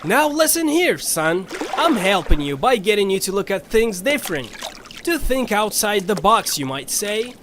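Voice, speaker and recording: A man's voice: high voice, big pitch swings, normal speed, background noise. A man voicing a folksy, bossy ferry captain with a Southern US accent in a cartoonish audio drama. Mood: defensive, instructive. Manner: folksy, drawling turns of phrase.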